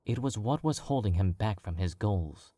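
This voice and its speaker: A man narrating a story in a calm, measured read. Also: lowish voice, dry recording.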